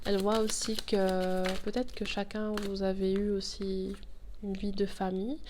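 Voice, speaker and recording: A woman's voice: mid-range voice, flat delivery, measured pace, darkish tone, slight background noise. A woman with a cold giving a casual tarot reading to camera, chatty and thinking aloud as she draws the cards. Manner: chatty, thinks aloud, laughs at her own fumbles. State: has a cold. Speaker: tarot reader.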